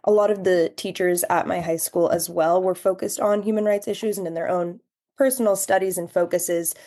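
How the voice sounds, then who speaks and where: Earnest young woman with a mellow voice. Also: lowish voice, fairly even intonation, fairly quick. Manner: earnest, long flowing sentences. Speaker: podcast guest, human rights education fellow. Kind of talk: reflective interview answer.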